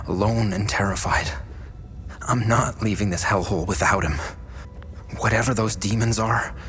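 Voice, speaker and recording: Worried determined dad in a story game, deep voice, heavy earnest delivery. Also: male voice, a bit bright, a little hiss or hum. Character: anguished, determined father, a small-town history teacher. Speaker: history teacher and father. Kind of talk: video game dramatic acting.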